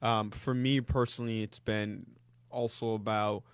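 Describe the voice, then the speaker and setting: Low-voiced man from Congo, dry mic. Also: flat delivery, clear, resonant tone, no room sound. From Democratic Republic of Congo. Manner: measured, with frequent ums and uhs. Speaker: guest. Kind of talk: interview conversation.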